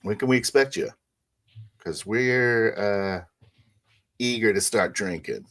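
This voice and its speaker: A man playing a jaded, gruff vampire party organizer, speaking casually and wryly in an improvised tabletop roleplay. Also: fairly low pitch, slow, fairly bright tone. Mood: coaxing, casual.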